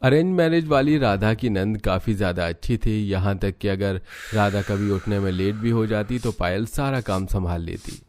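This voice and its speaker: A man's voice: lowish voice, moderate pace, darkish tone. A man reading scripted narration for a family drama cartoon. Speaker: story narrator.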